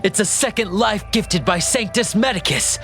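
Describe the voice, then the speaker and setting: An actor playing a sly, gleefully unhinged cultist prisoner in a video game, speaking in a theatrical, reverent, giggling manner. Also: male voice, high-pitched, expressive, normal speed, music in the background. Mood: sly, taunting. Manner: theatrical, giggling, lingering reverently over words. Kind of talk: dramatic video game voice acting.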